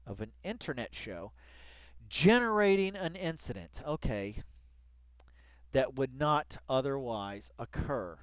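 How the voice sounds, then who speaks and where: Animated man commentator, rambling and exclaiming. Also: medium pitch, slow pace, smooth, no echo, low-quality recording. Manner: rambling, exclaiming, self-interrupting asides. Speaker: online commentator. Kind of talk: casual commentary while reading an article aloud.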